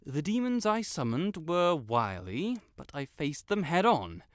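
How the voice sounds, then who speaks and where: A man's voice: on the high side, sing-song, measured pace, no echo. A man playing a pompous, melodramatic wizard in an audio roleplay, with flowery, theatrical speech and blurted self-corrections. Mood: boastful, faltering. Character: haughty, melodramatic male wizard, secretly fond tsundere. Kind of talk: scripted audio roleplay to the listener.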